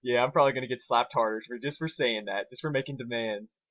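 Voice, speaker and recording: A man's voice: medium pitch, fast talker, fairly bright tone, dry recording, lo-fi sound. A man co-hosting an informal dramatic reading, talking and narrating in an amateur, casual way. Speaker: reader and co-host.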